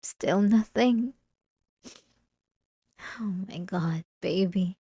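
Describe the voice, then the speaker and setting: A woman acts out an obsessive wife in an intimate ASMR roleplay, close and dry on the mic. She speaks very slowly, repeating words as she coaxes and manipulates. Her sweetness carries a possessive menace. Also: mid-range voice, dark tone, husky, no echo, full character voice. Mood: wistful, knowing.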